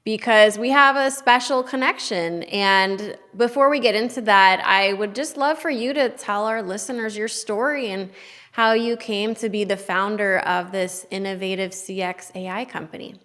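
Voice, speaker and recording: A woman's voice: mid-range voice, moderate pace, fairly bright tone. A woman hosting a podcast interview, speaking in an upbeat, eager way. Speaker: podcast host.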